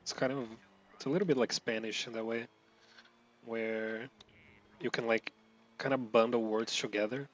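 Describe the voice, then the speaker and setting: A man from Brazil commenting casually while playing a racing game, rambling, running words together, swearing, with loud outbursts at his mistakes. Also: mid-range voice, fairly even intonation, normal speed, pauses often, dull audio. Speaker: gameplay streamer.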